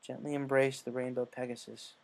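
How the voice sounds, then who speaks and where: A man reading a scripted story as narrator, in a measured way with occasional stumbles. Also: medium pitch, flat delivery, creaky, noisy background. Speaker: story reader.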